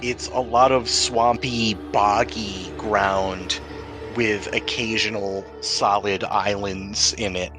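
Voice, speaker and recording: A man with a fairly low voice runs a tabletop role-playing game. He sets scenes descriptively, making them up as he talks in a conversational style. Music plays clearly behind him. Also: fairly even intonation, takes it slowly. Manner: descriptive, improvised scene-setting. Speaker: game master.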